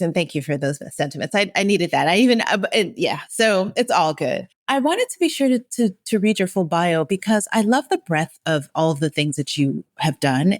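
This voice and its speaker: A woman's voice: lowish voice, no echo, studio quality. A woman hosting a podcast, reading a guest's bio and chatting casually, with lots of encouraging murmurs. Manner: lively, encouraging, frequent murmured affirmations. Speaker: podcast host. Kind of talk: casual interview chat.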